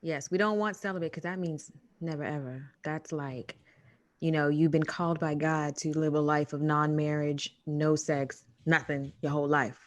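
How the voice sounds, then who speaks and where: A woman's voice: low voice, fairly even intonation, moderate pace. Young woman in casual, playful podcast chat. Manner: colloquial, playful. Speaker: podcast host.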